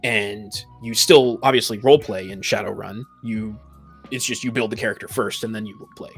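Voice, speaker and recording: A man's voice: lowish voice, brisk, a bit bright, husky, faint music behind. A man in a casual panel discussion about games, explaining his opinions at length. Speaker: podcast panelist. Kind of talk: casual discussion of tabletop gaming.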